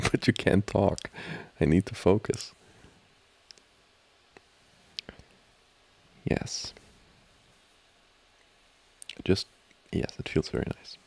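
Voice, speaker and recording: A man playing an amorous, giggly student boyfriend in an intimate ASMR roleplay, talking haltingly and teasingly close to the mic. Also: low voice, creaky, slightly rough, exaggerated voice. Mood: relaxed, distracted. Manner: halting, soft-spoken, chuckling, teasing. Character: amorous, giggly young male student boyfriend trying to study. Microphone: close microphone, dry.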